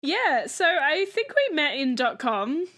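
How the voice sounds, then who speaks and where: A woman's voice: high voice, big pitch swings, takes it slowly, a bit bright. A young woman from Australia, guest on a podcast, chatting casually and poking fun at herself. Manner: self-deprecating, laughs often. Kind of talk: casual interview chat.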